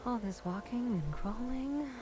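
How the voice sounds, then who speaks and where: A woman's voice: a little high, animated, unhurried, mellow, a little hiss or hum. An actress playing a weary, grumbling female healer in a video game, dry and blunt. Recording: music behind the voice.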